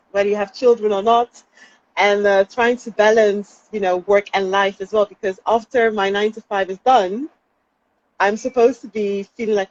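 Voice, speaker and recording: A woman's voice: medium pitch, darkish tone. Thoughtful woman on a dull video call. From Netherlands. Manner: thoughtful, with light laughs and hesitations. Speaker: interview guest, trainee counselling psychologist. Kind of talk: casual interview chat. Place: outdoors during lockdown.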